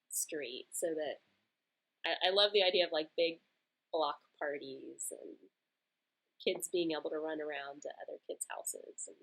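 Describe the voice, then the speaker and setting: A young woman with a lowish voice and a faint Southern lilt chats casually through listener questions. She rambles, laughs and circles back to her points. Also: a bit bright, poor audio. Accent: mild American Southern. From Georgia, USA. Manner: chatty, rambling, laughs easily. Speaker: knitting podcast host. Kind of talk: casual Q&A chat.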